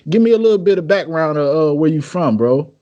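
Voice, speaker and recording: A man's voice: a little high, lively intonation, a little fast, dark tone, muffled recording. An American man hosting a podcast interview in casual, slangy talk, informal and given to repeating his phrases. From United States.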